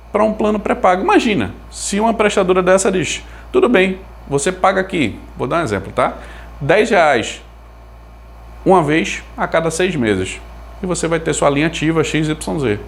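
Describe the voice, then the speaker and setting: A man's voice: fairly high pitch, creaky. A Brazilian man giving an informal explanation to viewers, thinking aloud in a conversational way with rhetorical questions. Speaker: video creator explaining consumer telecom topics.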